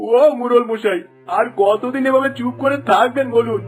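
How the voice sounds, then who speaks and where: Village shopkeeper for cartoon, male actor: wronged, complaining, very high-pitched voice. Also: moderate pace. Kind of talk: Bengali cartoon dubbing. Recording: music under the voice.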